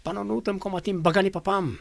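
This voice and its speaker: A man's voice: sits high, fairly even intonation, fast, gravelly. A man playing the boss's earnest friend, persuading him in a radio-style drama. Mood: pleading.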